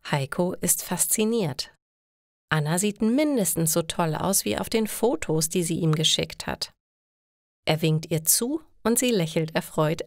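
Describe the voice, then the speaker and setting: A woman reading a scripted story for language learners in a measured, careful way. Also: fairly low pitch, no echo, studio quality. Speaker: narrator.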